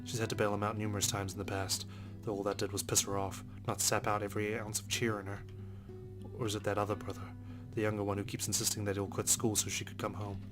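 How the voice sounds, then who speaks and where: Fast, flat, low-voiced male narrator. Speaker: let's play host. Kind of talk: narration read aloud from a visual novel. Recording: music under the voice. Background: game music.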